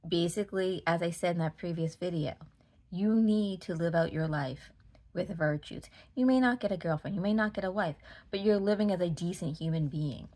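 A woman in her forties speaks in a fairly low voice with a Boston accent. She rants casually to camera, frustrated and emphatic, repeating phrases to drive them home. It sounds like a phone held at arm's length indoors. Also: normal speed, darkish tone. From Massachusetts, United States. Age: 40s. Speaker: vlogger. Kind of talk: casual opinion rant to camera.

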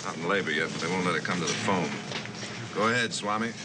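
Through heavy noise and somewhat off the mic comes a gruff, low-voiced Air Force pilot, played by a male actor. He is terse and needling, in the businesslike style of vintage suspense drama. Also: quick pace, close to an everyday voice. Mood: terse, dry. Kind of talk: mid-century suspense drama acting. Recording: noisy vintage soundtrack, distant mic, engine rumble.

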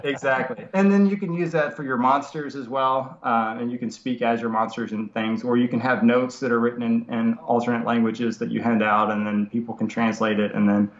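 A man in his thirties with a nasal voice from a cold, explaining software casually over a video call from his home study, with many fillers and restarts. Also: mid-range voice, fairly even intonation, a little fast, few pauses. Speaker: software developer guest. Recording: roomy lo-fi video call audio.

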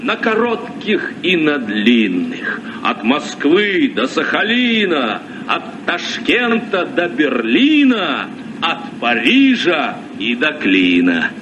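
Slow, swooping sea captain. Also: male voice, a little high, huge pitch swings, exaggerated voice. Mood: solemn, festive. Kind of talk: vintage radio play verse recitation. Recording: distant, muffled, noisy old radio recording.

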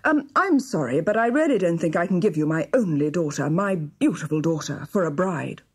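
A woman's voice: fairly low pitch, expressive, moderate pace, breathy edge. Woman playing an earnest, easily flustered middle-aged king. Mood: hesitant, unhappy. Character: kindly fifty-year-old Persian king, easily flustered. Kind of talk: children's storybook character reading.

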